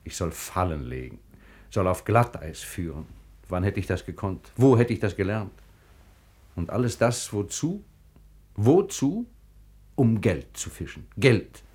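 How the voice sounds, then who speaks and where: An actor playing a proud, impatient sultan in classical radio drama, commanding and declamatory. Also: male voice, on the low side, very animated, a little slow, pauses often, darkish tone, breathy edge, heavily acted. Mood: impatient, scornful.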